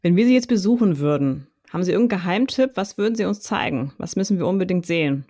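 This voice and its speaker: A woman's voice: lowish voice, lively intonation, fast, mellow, dry recording, studio quality. A woman from Hamburg co-hosting a podcast, asking a guest friendly questions. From Hamburg, Germany. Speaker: podcast co-host. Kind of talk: interviewing.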